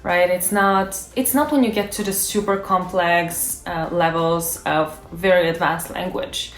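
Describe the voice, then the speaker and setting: A woman's voice: lowish voice, steady tone, unhurried, fairly bright tone. A young woman who is a native Bulgarian speaker, talking casually to camera as a language-learning YouTuber and thinking aloud with fillers and restarts. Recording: echoey room.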